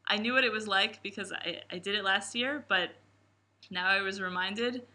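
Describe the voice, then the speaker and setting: A woman in her twenties from the New Jersey and New York area, a camp coach, speaking expressively in an interview. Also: normal speed. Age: 20s. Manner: expressive, acts out quotes with big pitch jumps. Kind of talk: reflective interview answers. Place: indoor room with posters.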